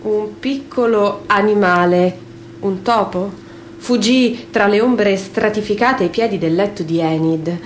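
A woman reads fiction as a stage narrator. Her voice sits at a medium pitch, delivered in a dramatic literary style, with faint background noise. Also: unhurried. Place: live radio theatre reading.

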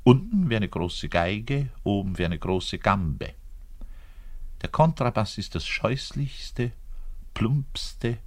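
Deep-voiced, bitter lonely bass player. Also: male voice, slightly rough, heavily acted. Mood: bitter, loathing. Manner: grandiloquent, swinging between outbursts and muttering. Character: lonely, bitter, self-pitying orchestral double bass player, man. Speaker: actor in a radio monologue. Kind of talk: theatrical monologue addressing the listener.

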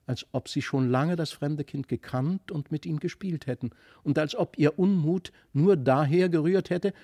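A male narrator reads a classic tale with a mellow, dark-edged tone. His delivery is careful and expressive, and the recording is close and dry. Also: fairly high pitch, dry recording. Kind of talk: expressive literary audiobook storytelling.

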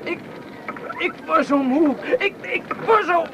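An actor playing a wounded, exhausted young Communard in a Dutch radio play, halting and theatrical with feverish declamation. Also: male voice, very high-pitched, expressive, dull audio, full character voice. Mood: exhausted, weak. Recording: noisy old radio play recording.

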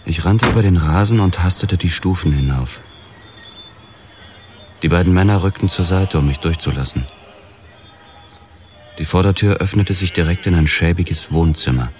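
A man's voice: deep voice, moderate pace, long pauses, a little mellow, a little hiss or hum, lightly acted. An actor playing a hard-boiled police detective who tells his own story in a dry, matter-of-fact noir style. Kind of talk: noir audio drama narration.

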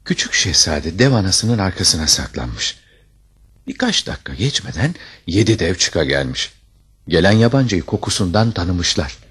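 A man tells a fairy tale in a deep, husky voice. His scripted narration is dramatic and highly animated, rising and falling expressively. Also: moderate pace. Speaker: storyteller.

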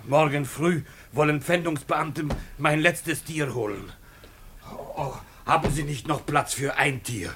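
An actor in a German radio play playing a courteous, down-on-his-luck animal showman, florid, imploring and deferential, with a husky, bright voice. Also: fairly high pitch, measured pace, slight background noise. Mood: pleading, courteous.

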